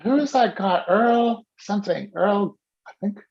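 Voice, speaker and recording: Gravelly, animated male business coach. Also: sits high, measured pace, lo-fi sound. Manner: animated and teasing, coaching a participant by name. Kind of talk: interactive coaching on a video call.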